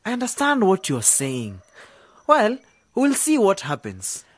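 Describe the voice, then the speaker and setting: A lively, swooping voice of a cocky young man in a radio drama. He is excitable and slangy, talking like a flashy DJ who loves his own show. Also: medium pitch, unhurried. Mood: casual. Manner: showy, slangy, animated. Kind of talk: radio drama dialogue with hyped DJ patter.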